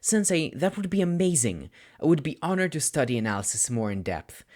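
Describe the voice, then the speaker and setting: An eager teenage schoolboy from an audiobook story, played by a man, pitched well up high. He is earnest and anxious, with an excitable, wavering delivery. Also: brisk. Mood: overjoyed, earnest.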